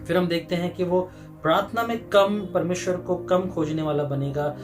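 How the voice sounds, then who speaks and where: A man with an Indian accent preaching and praying as a pastor, often halting as he explains and mixing in English words. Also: high voice, fairly even intonation, music in the background. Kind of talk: sermon with prayer to an online congregation.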